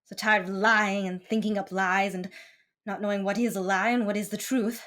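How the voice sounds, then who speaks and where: A woman playing a beguiling, evasive schemer with hesitant, dramatic pauses in a 1940s-style radio melodrama. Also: mid-range voice, fairly even intonation, fairly bright tone, close to an everyday voice. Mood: weary, pleading. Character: beguiling, evasive female schemer, dangerous and weary.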